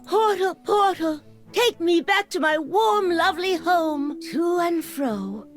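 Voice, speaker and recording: A woman playing a kindly, forgetful old yordle grandmother in a video game, with a gentle, doting, quaint manner. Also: very high voice, lively intonation, slow pace, soft background music, full character voice. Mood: wistful, whimsical. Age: older. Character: kindly, forgetful, whimsical grandmotherly yordle lady who loves tea. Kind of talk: video game character voice lines.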